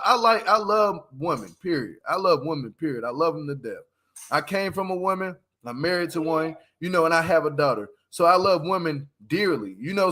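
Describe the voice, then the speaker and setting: A man's voice: sits high, sharp tone. A man giving casual, opinionated livestream commentary as host, talking in an emphatic, repetitive, slangy way.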